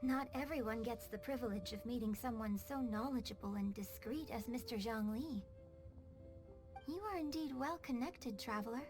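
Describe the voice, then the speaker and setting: A woman plays a young, well-mannered opera singer in a video game. Her voice sits a little high and she speaks with gracious, measured formality. Soft music runs underneath. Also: natural voice. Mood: polite. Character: poised, polite young female opera performer, graceful and modest. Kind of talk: animated game cutscene dialogue. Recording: music behind the voice.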